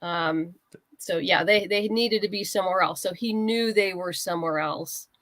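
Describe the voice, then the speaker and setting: A woman's voice: lowish voice, moderate pace, a bit bright, no room sound. A woman speaking casually as a guest writer in an interview, explaining her creative process and acting out little asides.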